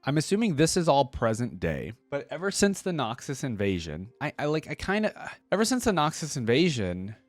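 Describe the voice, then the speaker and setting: A man's voice: mid-range voice, huge pitch swings, fairly quick, hardly any pauses, dry recording, soft background music. A man hosting a reaction video, reading a story aloud and commenting on it in a casual, slangy way. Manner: casual, slangy, thinking aloud between passages.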